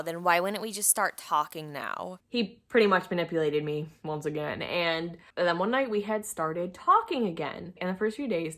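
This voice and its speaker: A young adult woman tells a personal story in a chatty, informal way. Her voice sits a little low for a woman. She slips into quoted conversations and exasperated asides as she goes. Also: fairly bright tone. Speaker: storytime video creator.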